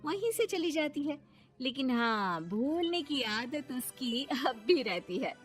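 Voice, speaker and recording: A woman's voice: high voice, big pitch swings, takes it slowly, soft background music. Female narrator for a cartoon story, scripted storytelling read.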